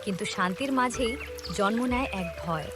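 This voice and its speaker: A woman's voice: on the high side, animated, moderate pace. A woman narrator reading a scripted storybook fable. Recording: loud music under the voice.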